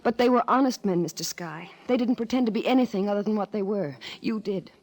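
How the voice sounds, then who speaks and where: An actress playing a proud, virtuous young mission worker, measured and earnest, in an old-time radio melodrama. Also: female voice, mid-range voice, darkish tone, close to an everyday voice. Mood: bitter, wounded.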